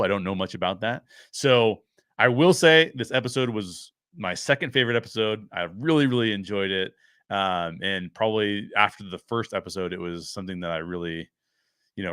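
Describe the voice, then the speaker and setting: A man's voice: lowish voice, fairly bright tone, no room sound, studio quality. A man giving casual solo commentary as a show host, often thinking aloud to his viewers. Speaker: host of a pop culture review show.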